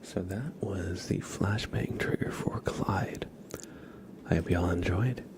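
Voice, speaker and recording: A man hosting an ASMR livestream, speaking in a soft, soothing whisper and chatting gently to his viewers. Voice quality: whispered, breathy, with low creaky murmurs. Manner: soft, slow, soothing, greets viewers one by one.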